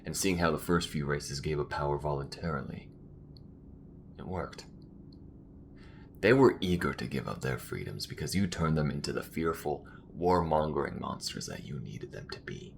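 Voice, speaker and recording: Man playing a low-voiced, defiant space rebel. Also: normal speed, creaky, a little hiss or hum. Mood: defiant, firm. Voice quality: low-pitched. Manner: earnest, firm, emphatic. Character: weary, principled male space rebel, defiant and protective. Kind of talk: dramatic sci-fi audio roleplay acting.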